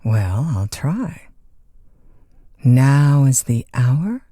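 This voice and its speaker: A woman's voice: extremely low pitch, very animated, extremely slow, dark tone, no echo, clean studio sound, full character voice. A woman playing a chatty, lonesome overnight disc jockey in an audio drama, with a folksy, polite, hesitant conversational manner. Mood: friendly, obliging. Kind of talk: naturalistic audio drama acting, one side of phone calls.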